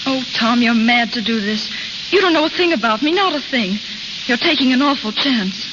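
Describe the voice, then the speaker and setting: A woman's voice: on the high side, animated, normal speed, natural voice. An actress playing a guarded young society woman with a secret, in old radio drama style. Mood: troubled, evasive. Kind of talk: 1940s radio acting. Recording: muffled, hissy old radio recording.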